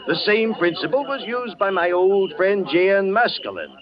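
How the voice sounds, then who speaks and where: An actor in a 1940s radio drama playing an older, confident professor of stage magic with a theatrical, declamatory manner. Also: male voice, high voice, very animated, moderate pace. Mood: assured, explaining. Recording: muffled vintage 1940s radio recording, crowd behind.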